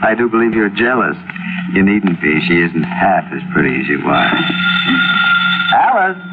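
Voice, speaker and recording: An actor playing a suave, indulgent, gently teasing husband in 1940s radio drama style. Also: male voice, low voice, very animated, normal speed. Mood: affectionate teasing. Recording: tinny, noisy vintage radio recording.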